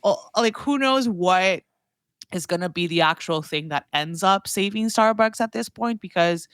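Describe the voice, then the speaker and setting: A woman speaking as a guest commentator in a casual podcast discussion, halting and leaning heavily on "like" as she talks. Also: medium pitch, lively intonation, fairly bright tone, clear, resonant tone, no echo, studio quality. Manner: halting, heavy use of "like". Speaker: guest commentator on grocery and consumer brands.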